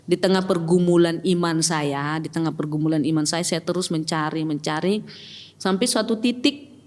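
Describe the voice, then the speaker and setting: A woman's voice: deep voice, moderate pace, a little mellow. A middle-aged woman with a Batak accent, telling her own story as a podcast guest, reflective and hesitant, with many fillers and restarts. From Batak, North Sumatra. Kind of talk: personal testimony in casual chat. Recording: long reverb with faint music.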